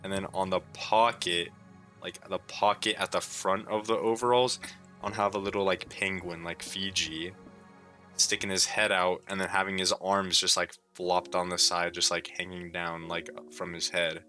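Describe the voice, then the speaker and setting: A tired young man with a sore throat, rambling laid-back and low on a chill stream, with plenty of "like" fillers. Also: level tone, moderate pace, pushed and bright, faint music behind. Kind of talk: casual rambling stream talk.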